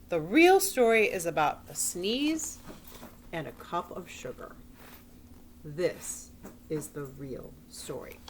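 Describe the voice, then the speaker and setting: A woman's voice: medium pitch, slight background noise. A woman voicing a defensive, sly wolf who tells his side of a storybook tale in a folksy, conversational way. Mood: indignant, incredulous, then confiding. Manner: folksy, conversational, exaggerated self-justifying. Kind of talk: storybook read-aloud in character.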